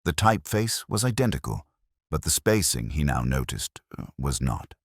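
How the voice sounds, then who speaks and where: Male narrator for scripted mystery fiction: very low, lively intonation, dry close sound. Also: moderate pace, breathy edge, no room sound. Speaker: audiobook narrator.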